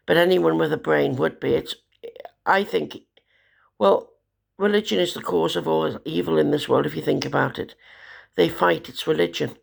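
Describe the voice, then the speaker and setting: Hoarse gravelly woman, despairing. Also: fairly low pitch. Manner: despairing, rambling, bitter. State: hoarse with a cold. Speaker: vlogger. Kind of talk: rambling personal monologue.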